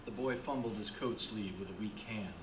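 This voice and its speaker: A man's voice: on the low side, normal speed, a bit bright. A man narrating a radio play in a dramatic storytelling style. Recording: roomy with clear background noise.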